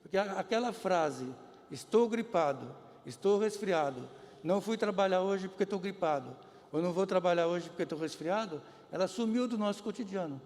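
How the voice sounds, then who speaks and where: A man, a health official, explaining in measured answers at a press conference. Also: high voice, animated, a little mellow. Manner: explanatory, measured, occasional restarts. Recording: reverberant hall.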